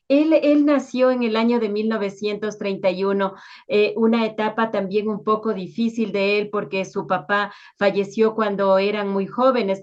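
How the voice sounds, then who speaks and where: A woman hosts an interview in a formal, courteous manner. Her voice sits at a medium pitch, and the recording is slightly muffled and lo-fi. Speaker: interviewer and show host.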